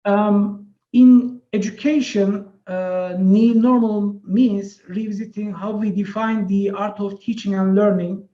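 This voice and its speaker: Turkish-native man, high voice, echoey room. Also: steady tone, mellow, lo-fi sound. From Turkey. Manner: measured, reads prepared text with fillers. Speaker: associate professor giving a webinar talk. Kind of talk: prepared webinar presentation.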